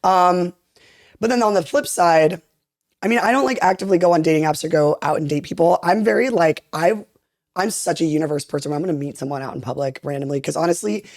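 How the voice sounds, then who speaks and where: A young woman in her twenties with a deep voice, chatting candidly and rambling on a podcast, filling her talk with "like". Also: fast, darkish tone, no room sound, clean studio sound. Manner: candid, rambling, heavy use of "like". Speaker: interviewee. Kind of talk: casual podcast chat.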